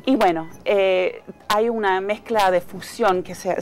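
Bubbly Chilean woman, halting speech. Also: medium pitch, fairly even intonation, a little hiss or hum. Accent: Chilean Spanish. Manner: bubbly, laughs often, halting with fillers. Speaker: guest musician, flutist. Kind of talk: live TV interview. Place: TV news studio, indoors.

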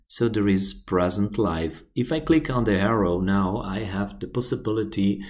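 Man, Italian native, unhurried and fairly low, plain step-by-step tutorial narration with restarts. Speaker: software tutorial presenter. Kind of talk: screen-recorded software walkthrough.

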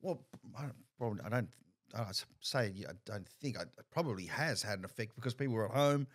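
A man's voice: on the low side, animated, quick pace, slightly rough. A middle-aged man hosting a casual podcast interview, often restarting and repeating words as he thinks. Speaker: podcast host. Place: bar-like studio room, indoors. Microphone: desk mic on a stand.

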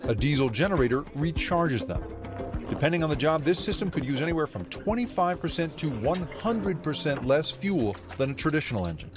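Middle-aged male reporter, measured news read, music bed. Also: fairly high pitch, big pitch swings. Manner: measured, then chatty and laughing in studio. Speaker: news correspondent. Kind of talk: scripted news narration and studio chat. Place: TV news studio for the chat.

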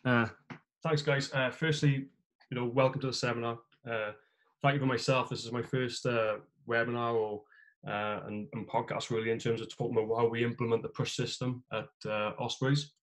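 A man's voice: medium pitch, level tone, moderate pace. A man in his thirties with a South Welsh accent, presenting on a video call from a small room, talking loosely with many fillers and restarts. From South Wales. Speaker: head of physical performance, guest speaker. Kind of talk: webinar presentation. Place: small room at home, indoors. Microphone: video call on a laptop.

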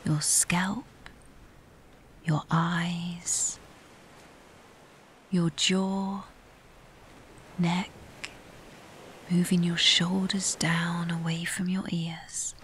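A woman guiding a scripted meditation in a soft, breathy near-whisper, calm, soothing and unhurried with long pauses. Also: lowish voice. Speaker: meditation guide. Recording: steady background hiss.

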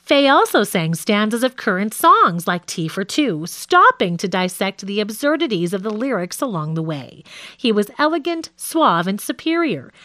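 A woman narrating a nonfiction audiobook from a script in a measured, articulate way. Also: mid-range voice, very animated, bright, forceful tone, no echo.

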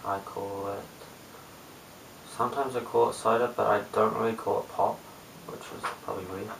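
Mumbling young New Zealand man, flat pitch. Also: normal speed, creaky. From Christchurch, New Zealand. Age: young adult, late teens. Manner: low-key, mumbling, hesitant. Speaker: amateur video blogger. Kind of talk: casual chat to camera. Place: small bare room indoors. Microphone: webcam at a short distance. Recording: roomy, distant webcam mic with hiss.

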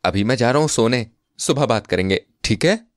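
A man's voice: mid-range voice, expressive, normal speed, dry recording, studio quality, pitched above the performer's own voice, natural voice. Man playing a bold, brash village brother. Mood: casual.

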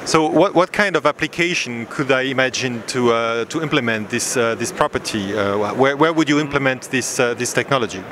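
A middle-aged man interviewing in a halting, hesitant way at a trade show, speaking into a handheld microphone. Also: medium pitch. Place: busy exhibition hall booth. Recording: noisy trade fair hall ambience.

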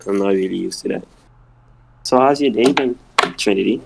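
A man's voice: lowish voice, normal speed, gravelly, heavy background noise. A teenage boy making awkward, hesitant small talk as a contestant on a video call. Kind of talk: casual chat on a group call.

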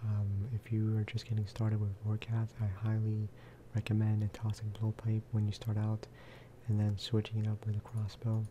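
A man giving soft-spoken ASMR commentary over a game, casual and rambling. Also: lowish voice, monotone, normal speed, few pauses, background noise. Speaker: gaming video creator.

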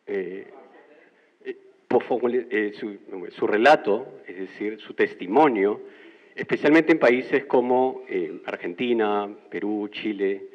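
Peruvian guy from the audience, deepish voice, wordy academic question, echoey lecture hall. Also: slow. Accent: Peruvian Spanish. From Peru. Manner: articulate, academic, long-winded. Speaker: audience member asking a question. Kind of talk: formal question from the floor. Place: university classroom. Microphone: handheld mic, standing. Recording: muffled, roomy lecture hall.

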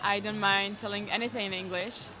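A woman's voice: medium pitch, fairly even intonation, a little fast, low-quality recording. A young woman from the Czech Republic with a Czech accent, chatting in English in a casual interview and sometimes searching for words. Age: young adult. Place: indoor cafe. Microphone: handheld microphone. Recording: music playing behind.

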